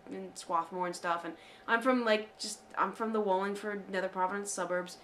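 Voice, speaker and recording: A woman's voice: medium pitch, fairly even intonation, a bit bright, a little hiss or hum. A young woman from outside Philadelphia with a Philly accent mixed with Derry, chatting casually on a podcast with lots of fillers. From Delaware County, Pennsylvania. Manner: chatty, quick asides, hedging with fillers. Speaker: podcast co-host. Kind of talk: casual chat.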